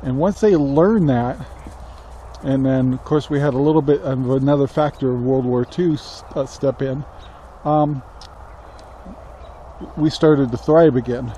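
An American man in his sixties talking casually to camera outdoors, halting, reflective and plain-spoken. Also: medium pitch, dark tone, rough recording. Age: 60s. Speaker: country living vlogger. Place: outdoors on a rural yard beside a metal barn. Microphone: camera at arm's length. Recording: faint outdoor ambient noise.

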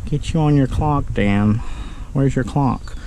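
Man, mid pitch, casual how-to talk, noisy outdoor camera audio. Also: slow, dark tone. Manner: chatty, talks while working, addresses viewers. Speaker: pressure washing business owner. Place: outdoors under a metal carport shelter. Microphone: handheld action camera, point of view. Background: rain and running water.

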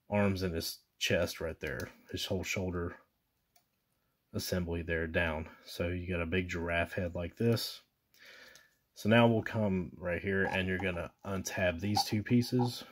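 A man with a deep, low-pitched voice talks viewers through a toy step by step. He is casual and chatty, with fillers and self-corrections. He is recorded indoors at a table by an off-screen camera mic. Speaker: toy reviewer. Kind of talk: casual hands-on toy demonstration. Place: indoors at a table with a posterboard backdrop.

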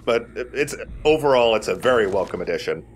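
A man's voice: medium pitch, expressive, fairly quick, slight background noise. A man giving casual, explanatory game commentary with rambling asides. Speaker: let's play commentator.

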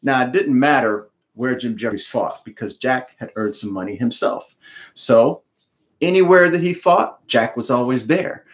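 A middle-aged man with an American accent performs a read-aloud for an audience of listeners. His delivery is emphatic and theatrical, using deliberate pauses and repetition, in a medium-pitched voice. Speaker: author reading his book aloud. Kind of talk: expressive storybook read-aloud with explanatory asides. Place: home room with bookshelves, indoors.

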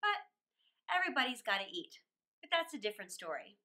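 A middle-aged woman reading a poem aloud for children as a storytime host, theatrically, with dramatic pauses. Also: on the high side, very animated, bright, forceful tone. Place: small indoor room with plain wall.

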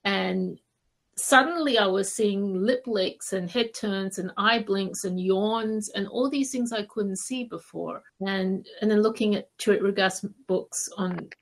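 A woman from Malaysia speaking as a podcast guest, telling stories casually with hesitant, conversational pauses. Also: mid-range voice. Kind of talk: casual interview storytelling.

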